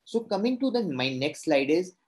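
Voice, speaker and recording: A young man from India with an Indian English accent, a native Hindi speaker, giving an earnest, halting conference talk over a video call from a room at home. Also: high-pitched, sing-song, a little mellow. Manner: earnest, halting with restarts and fillers. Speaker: panelist presenting.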